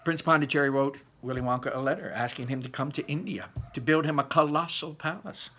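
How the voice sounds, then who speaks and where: A man reading a children's book aloud, conversationally pausing to explain and put questions to his listeners. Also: medium pitch, fairly even intonation, sharp tone. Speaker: read-aloud storyteller explaining words to children. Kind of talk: children's book read-aloud with teaching asides.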